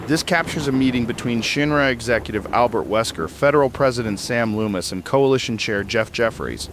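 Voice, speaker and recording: A man's voice: medium pitch, a bit bright, a little hiss or hum, close to an everyday voice. A man playing a serious investigative radio host in an audio drama. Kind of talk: audio drama broadcast presenting.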